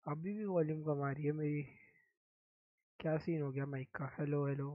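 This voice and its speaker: Young indian guy streaming, talks quiet and hoarse, kinda flat, tinny dry mic. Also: on the high side, moderate pace, no echo. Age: young adult. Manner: speaks softly, mic-checking. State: hoarse, sore throat. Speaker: game streamer. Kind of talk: casual live-stream chat.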